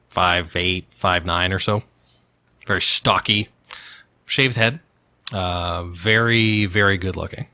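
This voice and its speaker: A man's voice: lowish voice, bright, forceful tone. A man playing a tabletop game, talking casually with a dryly joking, conversational manner.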